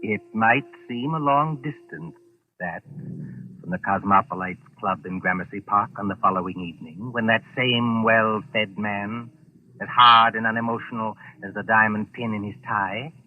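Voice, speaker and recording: An actor playing a suave, sinister mystery host, narrating dramatically and deliberately in 1940s radio style. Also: male voice, on the low side, natural voice. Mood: grave, atmospheric. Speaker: announcer and narrator. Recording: tinny old radio recording, music behind.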